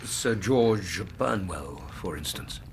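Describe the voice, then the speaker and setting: An actor playing a mild, thoughtful Victorian doctor in a radio drama, gently questioning. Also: male voice, low-pitched, animated, slow pace, a little hiss or hum, exaggerated voice.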